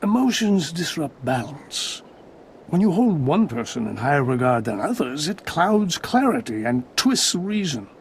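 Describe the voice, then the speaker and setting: High-voiced, pompous Jedi master. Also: male voice, sing-song, measured pace, a little hiss or hum. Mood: grave, admonishing. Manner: formal, pompous, moralizing. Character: stern, suspicious, disapproving Jedi master. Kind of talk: video game voice acting.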